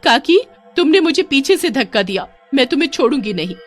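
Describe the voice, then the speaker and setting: A woman voicing a bold, sharp-tongued young wife in a cartoon story. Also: medium pitch, normal speed, faint music behind, lightly acted. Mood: furious.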